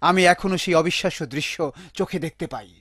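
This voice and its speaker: পুরুষকণ্ঠে চড়া গলার ধার্মিক কার্টুন গ্রামবাসী. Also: male voice, high-pitched, fast, a bit bright, breathy edge, dry recording. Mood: earnest. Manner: earnest, scolding, instructive. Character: pious, admonishing male villager guarding a sacred well. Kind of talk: animated religious cartoon dialogue.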